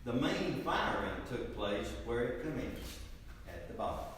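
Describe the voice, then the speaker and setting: Earnest male eyewitness acted by a man, middle pitch, formal phrasing, noisy echoing hall. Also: big pitch swings, unhurried, fairly bright tone. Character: earnest 1870s eyewitness recounting a riot. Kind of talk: dramatic reading of testimony. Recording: echoey noisy hall, distant mic.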